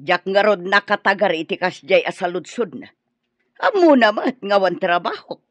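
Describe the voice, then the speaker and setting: A woman's voice: mid-range voice, lively intonation, normal speed, no echo, close to an everyday voice. An Ilocano-accented woman playing a combative, sarcastic middle-aged parent in a radio drama, challenging and mocking. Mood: annoyed.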